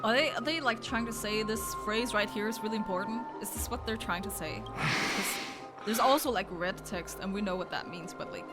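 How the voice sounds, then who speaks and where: A woman's voice: mid-range voice, normal speed. A woman streamer giving casual, playful live reactions to a game in short exclamations. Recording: loud game music behind voice. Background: game cutscene music.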